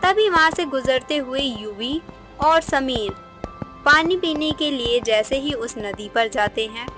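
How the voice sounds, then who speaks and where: A woman's voice: high-pitched, lively intonation, slow pace, music in the background. A woman narrator reading a scripted moral story.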